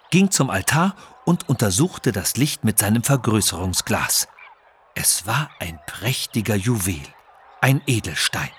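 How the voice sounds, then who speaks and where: A man's voice: mid-range voice, slight background noise. A man narrating a scripted children's adventure story.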